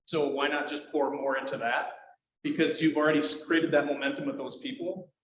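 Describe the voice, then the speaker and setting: A man's voice: a little high, little pitch movement, a little fast, slight background noise. A man leading a real estate team, making emphatic points on a panel. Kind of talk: panel discussion. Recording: echoey room, muffled sound.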